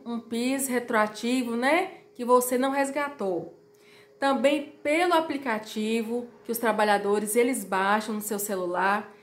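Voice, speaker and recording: A slightly hoarse woman in her thirties talks straight to her viewers with a fairly high voice. She is chatty and direct and repeats her warnings. She is recorded close to a phone in a small, echoey room at home. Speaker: YouTuber. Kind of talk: informal explainer vlog.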